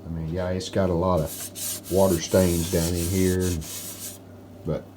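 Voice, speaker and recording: A man giving casual, plainspoken, unhurried narration of hands-on workshop work, like a DIY video host. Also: sits low, level tone, noisy background. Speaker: host of a restoration channel.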